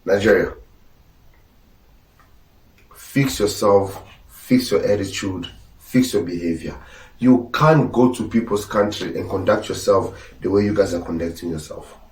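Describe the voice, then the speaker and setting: A man's voice: on the low side, measured pace, long pauses, a little mellow, roomy sound, far from the mic, low-quality recording. A man originally from Angola whose first language is Portuguese, hosting a podcast with blunt, lecturing opinion talk. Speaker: podcast host. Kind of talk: opinionated commentary.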